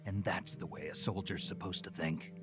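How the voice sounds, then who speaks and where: An actor playing a gruff, terse special-forces soldier in a video game, with a gravelly, husky voice. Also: male voice, deep voice, steady tone, normal speed, music in the background, natural voice. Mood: guarded. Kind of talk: video game cutscene acting.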